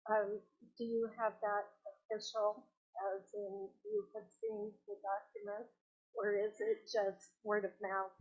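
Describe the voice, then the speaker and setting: A woman's voice: mid-range voice, little pitch movement, measured pace. Woman on a thin, phone-like meeting recording. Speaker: planning commissioner. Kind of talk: questioning staff at a public meeting.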